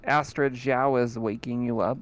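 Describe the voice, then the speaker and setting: A man's voice: medium pitch, fairly even intonation, normal speed. A man running a tabletop game over a voice call, often laughing and asking his players what they do. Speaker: game master.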